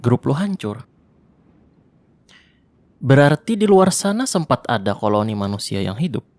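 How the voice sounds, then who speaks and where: A young man performing an ASMR roleplay monologue in casual slangy speech, tense and hushed at times, close to the mic. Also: mid-range voice, expressive, a little slow, no room sound. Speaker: voice actor.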